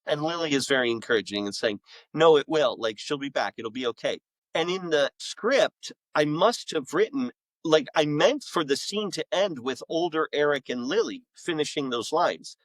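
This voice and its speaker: A man's voice: on the high side, animated, normal speed, dry recording, poor audio. A middle-aged man, a podcast guest and author, telling casual anecdotes in an enthusiastic, rambling way.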